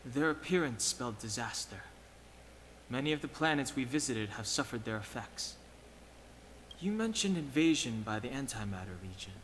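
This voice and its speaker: An actor playing a calm, reserved young male companion who speaks tersely and matter-of-factly in a game cutscene. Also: medium pitch, moderate pace, slight background noise, natural voice, rough recording. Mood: serious.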